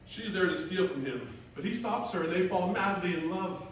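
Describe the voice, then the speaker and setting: A man's voice: high voice, expressive, moderate pace. An actor playing an eager, earnest male playwright who rambles and gets defensive in theatrical comic dialogue. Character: eager male playwright William pitching his earnest tragic play. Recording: roomy echo, distant mic, faint noise.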